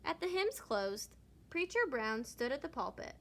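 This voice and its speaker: A woman reading a children's story aloud, lingering deliberately on certain words. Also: high voice, big pitch swings, a bit bright. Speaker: storybook reader. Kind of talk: children's read-aloud narration.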